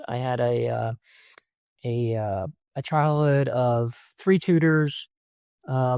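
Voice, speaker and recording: A man in his fifties talks through his memories as a podcast guest. His voice has a gravelly, fried texture and a mid pitch, and he pauses on fillers as he thinks. The sound is dry and close. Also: no echo. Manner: reflective storytelling with many fillers. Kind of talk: conversational interview answers.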